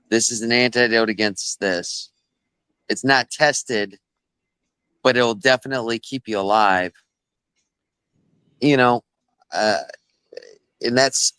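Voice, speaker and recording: A man's voice: mid-range voice, pushed and bright, no echo. A man giving blunt, emphatic opinions in a casual conversation.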